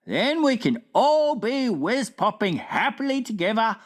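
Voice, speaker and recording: A woman's voice: on the high side, very animated, pitched above the performer's own voice. A woman voicing a cheerful, clumsy male giant who mangles his words, read from a storybook. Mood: eager. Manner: mangled words, drawn-out exclamations. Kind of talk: storybook reading.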